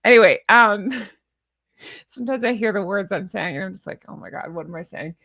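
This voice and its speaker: A woman's voice: medium pitch, lively intonation, moderate pace, gravelly, a bit bright, no echo, clean studio sound. A woman hosting casual, unscripted commentary on social media posts, chatty and rambling, with a sarcastic, mocking, exasperated manner. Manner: chatty, rambling, often breaking off to laugh at her own jokes. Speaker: commentary show host.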